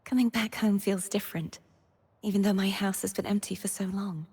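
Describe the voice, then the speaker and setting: A young woman playing a gentle, earnest, wistful maiden heroine in scripted video game lines, soft-spoken and breathy. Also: medium pitch, steady tone, fairly quick, natural voice. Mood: wistful, shy. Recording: long reverb on the voice.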